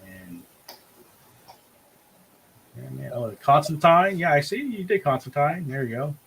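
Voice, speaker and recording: A man's voice: fairly high pitch, lively intonation, a little slow. Casual male show host, noisy background. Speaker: host of an online drawing show. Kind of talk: casual chat while showing artwork. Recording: clear background noise.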